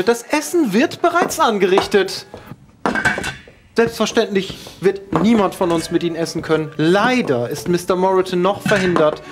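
A man voicing a deferential, eager-to-please servant with flowery formal manners, in a tabletop audio drama. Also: high voice. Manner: formal, servile.

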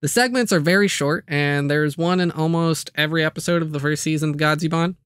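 A man's voice: on the high side, brisk, a bit bright, clean tone, no echo. A man delivering a casual, comedic scripted video review, with jokey asides and playful exaggeration.

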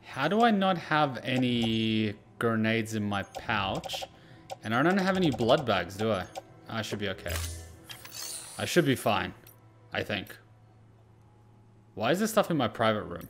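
A man's voice: on the low side, sing-song, unhurried, pauses often, a bit bright, faint music behind. A man giving casual gameplay commentary, talking to himself and swearing freely as he goes. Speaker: gaming streamer.

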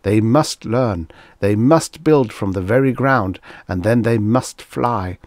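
A man's voice: on the low side, measured pace, a little mellow, no echo, studio quality. A man voicing a stern, dutiful male wind creature with a stiff, stubborn, scolding manner in a narrated story. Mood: urgent.

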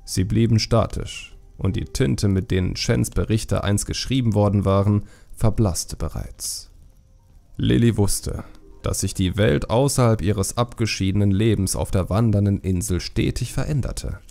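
A man narrating a fantasy audiobook in a measured, expressive storytelling manner. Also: on the low side, vocal fry, faint music behind.

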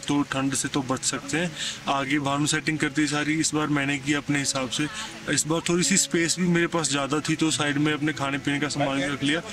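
A young man chatting to viewers as a travel vlogger at a cold outdoor campsite. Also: on the high side. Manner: chatty, addresses viewers directly. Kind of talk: casual vlog narration.

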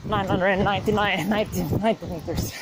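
A man with a fairly high voice, talking into a handheld phone while out on a run in the woods. He is out of breath and gets his words out in short bursts between strides. Loud wind noise rushes across the phone mic. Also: fairly even intonation. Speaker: vlogger. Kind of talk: casual vlog while running. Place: wooded trail outdoors. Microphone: handheld phone camera, close.

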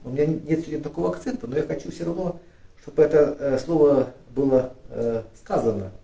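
A middle-aged man from Ukraine preaching a sermon, halting with fillers and restarts, rising and emphatic when he reads scripture aloud. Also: on the high side, gravelly, dark tone. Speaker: pastor. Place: church studio with flags and lit cross. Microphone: far camera. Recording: echoey room, voice from a distance.

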